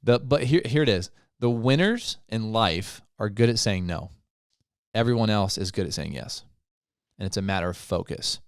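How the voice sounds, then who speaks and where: A man's voice: on the low side, lively intonation, normal speed, clear, resonant tone, no echo, clean studio sound. A man co-hosting a podcast in casual, conversational talk, laughing easily. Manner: conversational, laughs easily, slips into quoted voices. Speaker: podcast co-host. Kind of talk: casual chat.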